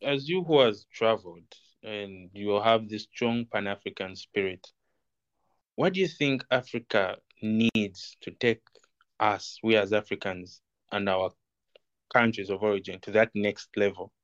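A man hosts the interview, asking long questions in a calm, measured way. His voice is lowish and smooth, but the recording sounds muffled and lo-fi. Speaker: podcast host.

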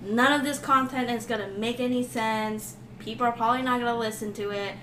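A woman's voice: fairly high pitch, steady tone, brisk, sharp tone, recorded from a distance, slight background noise. Laughing woman, a bit off-mic. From United States. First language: Thai. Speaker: podcast guest. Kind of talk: casual podcast chat. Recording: roomy echo, off-mic.

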